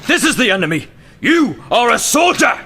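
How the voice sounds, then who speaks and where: A man playing a barking, bright-voiced army sergeant. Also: extremely high pitch, big pitch swings, moderate pace, vocal fry, slightly rough, heavily acted. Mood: commanding. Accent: Northern Irish. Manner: barking, domineering. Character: harsh, suspicious male army sergeant. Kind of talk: amateur radio play acting.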